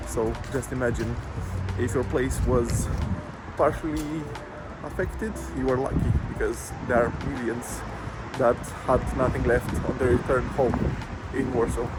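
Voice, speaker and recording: A man speaking in a reflective, unhurried way. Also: mid-range voice, fairly even intonation, poor audio. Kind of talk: casual spoken commentary. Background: music.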